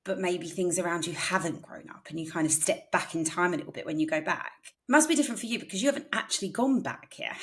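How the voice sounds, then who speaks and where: A woman's voice: on the low side, fast, a bit bright. A woman from England in her thirties, co-hosting a podcast in a chatty, think-aloud way with many fillers. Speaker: podcast co-host. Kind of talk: casual chat.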